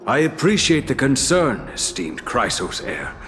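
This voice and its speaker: An actor playing a stern, proud priest in a game cutscene, preachy and firm. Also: male voice, mid-range voice, sing-song, unhurried, fairly bright tone, soft background music. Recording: echoey hall reverb.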